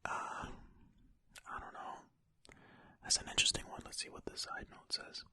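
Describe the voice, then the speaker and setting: Casting: ASMR storyteller, fully whispered, very sharp airy tone, informal and unhurried, close to the mic. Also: no room sound. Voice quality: breathy, unvoiced whisper. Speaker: ASMR host reading and commenting on stories. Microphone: close ear-to-ear ASMR microphone.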